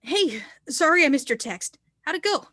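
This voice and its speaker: Woman playing a high-voiced, playful teenage girl. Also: big pitch swings, normal speed, a bit bright, no echo, clean studio sound. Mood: concerned. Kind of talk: screenplay table read.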